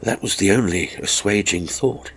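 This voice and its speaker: A man's voice: deep voice, moderate pace, husky, rough recording. A man reading a story as a dramatic narrator, with a theatrical, wry raconteur's delivery.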